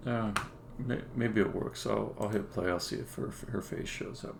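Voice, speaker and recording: Low, gravelly man thinking aloud. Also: fairly even intonation, normal speed. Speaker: game developer, live streamer. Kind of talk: casual live-coding commentary, explaining on a stream. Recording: clear background noise.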